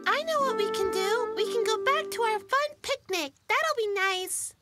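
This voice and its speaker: A woman's voice: very high-pitched, animated, normal speed, dry recording, exaggerated voice. A woman voicing a small, fretful, childlike girl in a children's cartoon. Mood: gentle. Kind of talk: animated children's cartoon dubbing. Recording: loud children's music under the voice. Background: children's music.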